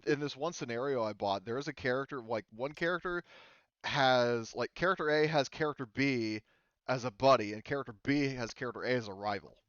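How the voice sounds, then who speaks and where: Clear-voiced man running a casual game discussion. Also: medium pitch, a little fast, no echo, muffled recording. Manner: informal, swearing, animated storytelling. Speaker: game master running a group planning session. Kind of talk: casual group discussion of tabletop game rules.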